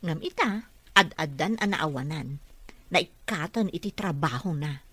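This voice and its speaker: A woman's voice: low-pitched, expressive, unhurried, a bit bright, breathy edge, dry recording, heavily acted. A woman with an Ilocano accent playing a moralizing, gossipy older sister in a radio drama. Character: moralizing big sister gossiping about greedy colleagues.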